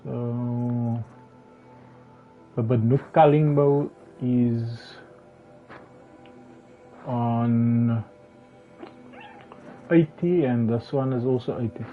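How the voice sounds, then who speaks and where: A slightly hoarse man commentating his game live, talking haltingly with many restarts and fillers. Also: medium pitch, steady tone. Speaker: game streamer. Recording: tinny phone-like sound with faint music.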